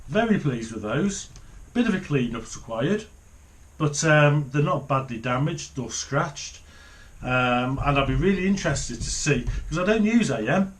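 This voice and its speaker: A man's voice: fairly high pitch, expressive, a little slow. A middle-aged man giving a casual, unscripted hands-on commentary, partly muttering to himself as he works. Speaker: hobbyist presenter of a radio repair video. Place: small home office, indoors.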